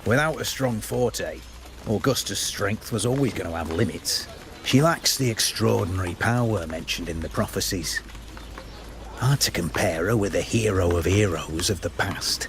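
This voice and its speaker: A man's voice: on the low side, normal speed, background noise, close to an everyday voice. An actor playing a doubtful, gossiping man of a fantasy city in a video game, skeptical and conspiratorial.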